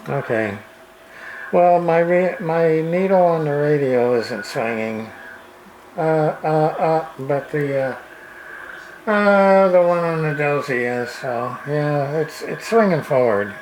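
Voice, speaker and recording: A man giving a casual, off-the-cuff demonstration as a radio repair hobbyist, halting and folksy in a plain-spoken way. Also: fairly high pitch. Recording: clear background radio noise and hiss. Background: radio noise.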